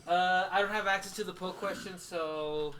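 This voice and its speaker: A man's voice: high-pitched, steady tone, moderate pace, crowd noise. A man hosting a casual group podcast, bantering with his co-hosts. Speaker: podcast host.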